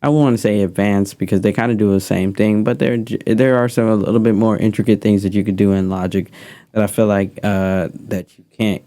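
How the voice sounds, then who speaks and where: A man teaching music recording in a relaxed, rambling way, explaining a studio session. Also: on the low side, brisk. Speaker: music mentor. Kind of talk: casual teaching.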